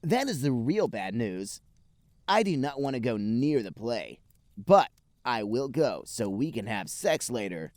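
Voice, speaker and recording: A man's voice: mid-range voice, very animated, a little slow, darkish tone, no echo, clean studio sound, voice pushed up. A man voicing a blunt, dim teenage cartoon hedgehog boy with stilted, exaggerated delivery in a comic fan fiction reading. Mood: grudging.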